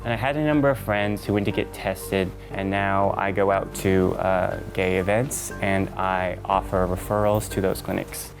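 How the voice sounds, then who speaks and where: A man speaks in a very low voice, laying out his points earnestly and steadily. He pauses often on "uh" and "um" as he explains. Music plays clearly underneath. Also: steady tone. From New York City, USA. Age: 30s. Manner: earnest, explanatory, with frequent fillers. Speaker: guest. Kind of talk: casual interview talk.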